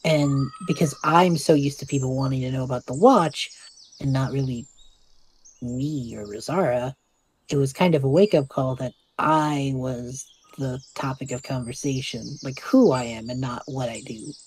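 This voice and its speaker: A woman voicing a young male magic student in an audio drama, earnest, awkward and self-deprecating, speaking haltingly. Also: rumbling low, darkish tone. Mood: earnest, reflective. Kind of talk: audio drama dialogue, naturalistic.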